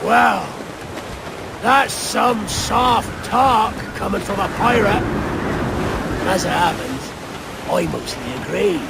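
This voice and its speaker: Gravelly, high-pitched seasoned pirate captain. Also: male voice, takes it slowly, long pauses, exaggerated voice. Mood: easy, amused. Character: seasoned male pirate captain, gruff, wary, pragmatic. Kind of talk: video game cutscene dialogue. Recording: loud sea surf noise behind. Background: ocean waves.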